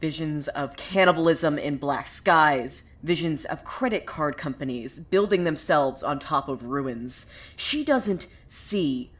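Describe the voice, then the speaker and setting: An unhinged cult preacher played by a woman in an audio drama, with an extremely low voice for a woman. The delivery is slow and theatrical, swinging from hushed, bitter brooding about visions to manic intensity. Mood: brooding, eerie. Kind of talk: dramatic monologue in an audio fiction podcast.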